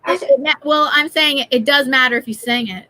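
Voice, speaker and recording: Woman host on a live stream, high voice, chatty, greets folks in the chat by name. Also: fairly quick, sharp tone, no room sound. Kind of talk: casual chat, reading out viewer comments.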